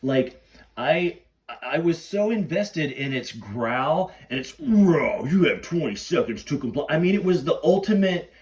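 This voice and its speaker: Animated, higher-voiced man, slightly off-mic. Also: a little slow, distant mic. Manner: excitable, repeats and rephrases himself, acts out quoted lines. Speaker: podcast host. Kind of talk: excited casual fan chat about films.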